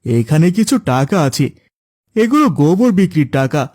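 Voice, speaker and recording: A man's voice: fairly high pitch, big pitch swings, moderate pace, darkish tone. A man playing a loyal young village helper in a cartoon story, earnest and reassuring. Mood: earnest, caring. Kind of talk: Bengali cartoon moral-story acting.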